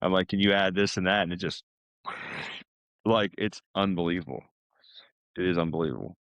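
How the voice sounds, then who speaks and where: Low-voiced American man chatting casually. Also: steady tone, fairly quick, a bit bright, smooth, no room sound, highs cut off. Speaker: podcast guest, salesman. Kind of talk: casual chat.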